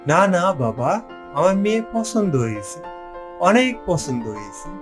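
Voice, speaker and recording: A man performs an eager young son looking to marry in a cartoon. His pitch is fairly high and swings around in a lively way. Music plays clearly behind him. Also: a little slow. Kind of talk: cartoon dialogue acting.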